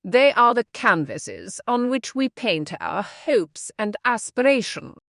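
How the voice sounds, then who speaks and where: A woman reading scripted practice passages aloud in a measured, careful way. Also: on the high side, big pitch swings, a bit bright, no echo, clean studio sound. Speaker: narrator of exam practice passages. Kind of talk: scripted read-aloud narration.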